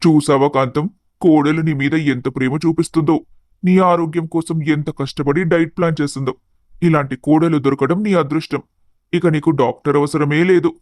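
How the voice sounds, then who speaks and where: A man playing a gently chiding male relative in an animated family story. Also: on the high side, moderate pace, dry recording, clean studio sound, natural voice. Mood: teasing.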